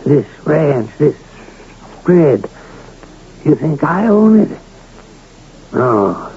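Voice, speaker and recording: An actor playing an ailing, gruff, luckless gambler rancher in a radio drama, blunt and folksy. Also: male voice, a little high, big pitch swings, drawn-out words, exaggerated voice. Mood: bitter, resigned. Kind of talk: 1980 radio drama acting. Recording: dull, noisy vintage radio broadcast.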